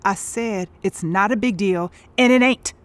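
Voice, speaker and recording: A woman's voice: medium pitch, a little slow, close to an everyday voice. A woman with an American accent playing a world-weary, defensive touring performer in an audio drama, speaking in a folksy, dismissive way. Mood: defensive, angry. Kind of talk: naturalistic audio drama acting.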